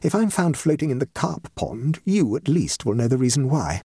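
A man's voice: medium pitch, big pitch swings, normal speed, mellow, slightly rough, no room sound, studio quality, pitched above the performer's own voice. A man voicing a middle-aged society hostess, an anxious matchmaking mother with an emphatic, effusive manner, in a comic story. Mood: distraught. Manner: emphatic, effusive, self-dramatizing. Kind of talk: comic short story reading.

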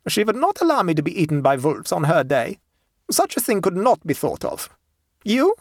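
A narrator voicing a stolid, shrewd peasant woman in a storybook, calm, devout and matter-of-fact. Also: medium pitch, no room sound, studio quality, pitched above the performer's own voice. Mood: serenely certain. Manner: tranquil, unhurried, matter-of-fact.

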